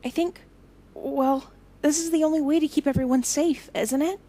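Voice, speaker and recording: Actress as young gifted heroine for audio drama: high-pitched, tentative, sincere and unsure of herself. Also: female voice, fairly even intonation, warm tone, lightly acted. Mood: tentative, earnest. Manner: halting, tentative. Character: unsure young woman with memory-taking powers, earnest.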